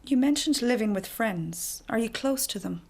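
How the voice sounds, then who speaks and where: An actress playing a calm, measured therapist who asks probing questions, in a naturalistic radio drama. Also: female voice, fairly high pitch, husky.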